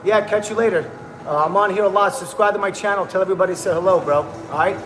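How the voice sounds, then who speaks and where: A man's voice: sits high, quick pace, noisy background. A man hosting a casual livestream, talking in a hyped, slangy way and calling viewers bro and man. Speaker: livestream host. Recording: echoey room with music behind.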